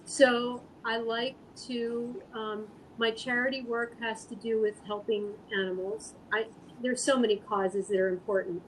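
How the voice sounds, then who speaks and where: A woman speaking as an interview guest, answering casually in an earnest, halting, thoughtful way. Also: a little high, flat delivery, a little hiss or hum, poor audio. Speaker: guest artist and animal rescue volunteer.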